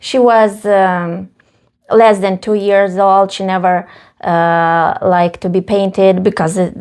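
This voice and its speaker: A woman in her thirties from Ukraine, speaking English with a Ukrainian accent, telling her story with many pauses and fillers as a guest. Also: mid-range voice, level tone, darkish tone, clear, resonant tone. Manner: halting non-native English with many fillers. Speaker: face painter, guest. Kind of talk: casual interview.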